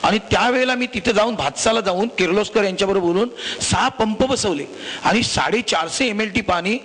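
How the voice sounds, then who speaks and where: A high male voice with a Maharashtrian accent addresses a panel formally. He talks in long, repetitive sentences, like a politician setting out a record, and presses on numbers. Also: a little fast, fairly bright tone. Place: live public panel event.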